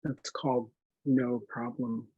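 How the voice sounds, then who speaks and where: A man leading a guided meditation in a gentle, unhurried manner, leaving long pauses between short phrases. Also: mid-range voice, flat delivery, husky, narrow, tinny audio. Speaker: meditation instructor.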